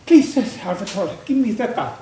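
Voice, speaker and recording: A man's voice: high-pitched, big pitch swings, moderate pace, mellow. An actor playing a principled captive man in a stage play, with an emphatic, theatrical delivery. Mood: pleading. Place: theatre stage, recorded from a distance. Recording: echoey stage with background noise.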